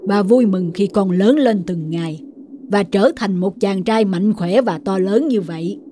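A woman's voice: mid-range voice, measured pace, mellow, a little hiss or hum, close to an everyday voice. A woman voicing a proud, protective sun god father in a cartoon, speaking formally and solemnly. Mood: fatherly, gentle. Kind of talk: dubbed mythological cartoon acting.

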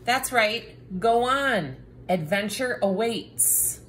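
A woman's voice: on the high side, lively intonation, takes it slowly, fairly bright tone, a little hiss or hum. A woman voicing a cranky, bossy talking picture book, full of dramatic exasperation, in a children's read-aloud. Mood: cranky, exasperated.

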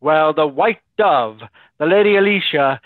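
A man's voice: on the high side, measured pace, dry recording, close to an everyday voice. A man playing a menacing, gloating nobleman villain with theatrical delivery in an old-style radio play. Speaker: actor. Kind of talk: 1930s radio acting. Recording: dry, tinny phone-like audio.

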